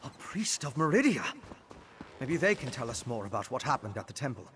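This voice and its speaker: An actor playing a learned, composed scholar of arcane rituals in a video game, speaking in an articulate, thoughtful, explanatory way. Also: male voice, mid-range voice, big pitch swings, brisk, a bit bright, slight background noise. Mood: curious, intent. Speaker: game character.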